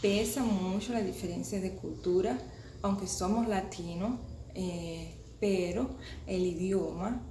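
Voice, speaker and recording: A woman in her thirties with a Cuban Spanish accent speaks casually to camera, pausing and restarting her sentences often. Her mid-range voice has a gravelly edge. The recording sounds roomy and echoey, with steady background noise. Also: medium pitch. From Cuba. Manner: chatty, with many restarts and hesitations. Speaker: vlogger.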